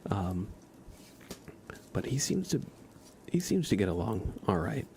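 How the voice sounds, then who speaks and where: A man hosting an ASMR livestream in a soft, hushed voice that often nears a whisper, chatting and reading in a laid-back, gently joking way with halting pauses. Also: on the low side, very animated, slight background noise. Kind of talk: ASMR, casual chat and tarot reading for viewers.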